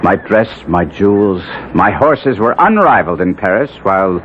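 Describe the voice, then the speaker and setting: A low-voiced, anguished young nobleman. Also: very animated, moderate pace. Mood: remorseful. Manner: earnest, melodramatic, formal literary phrasing. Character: anguished young nobleman, ruined, proud wastrel recounting his fall. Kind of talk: 1970s radio drama acting and narration. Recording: muffled, noisy old radio broadcast recording.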